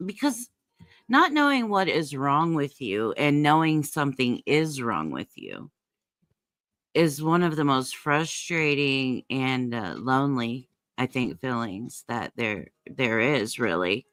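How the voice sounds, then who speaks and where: A woman's voice: very deep voice, sing-song, slow, a bit bright, dry recording, studio quality. A woman in her fifties chatting casually, agreeable and reflective. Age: 50s. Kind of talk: casual chat.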